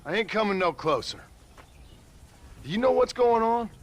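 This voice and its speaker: An actor playing a gruff, weary frontier gunslinger with a drawl, blunt and dry. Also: male voice, high voice, animated, moderate pace, lo-fi sound.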